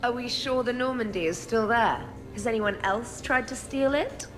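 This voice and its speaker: A woman's voice: a little high, normal speed, fairly bright tone, close to an everyday voice. A woman playing a chatty, modest young crew member in video game dialogue.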